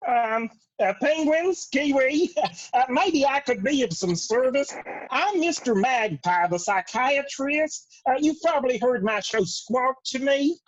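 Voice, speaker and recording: A woman's voice: sits high, animated, a little slow, dry recording. A woman playing a flustered, placating magpie psychiatrist with a hesitant manner in a comedy table read. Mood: nervously placating. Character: nervous magpie psychiatrist and radio host, placating. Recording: dull lo-fi audio.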